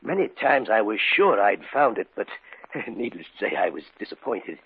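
A man's voice: fairly low pitch, very animated, normal speed, husky, narrow, tinny audio, heavily acted. An actor playing a courteous, hesitant retired art collector, an older man with a fussy, chuckling manner, in 1950s radio drama style. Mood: pleased, sly. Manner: hesitant, chuckling, polite, storyteller. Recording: thin, hissy old radio recording.

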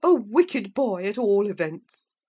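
A woman voicing a haughty, easily shocked elderly gentlewoman in an audiobook. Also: mid-range voice, sing-song, takes it slowly, breathy edge, lo-fi sound, pitched above the performer's own voice, heavily acted. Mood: disapproving. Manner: indignant, disapproving.

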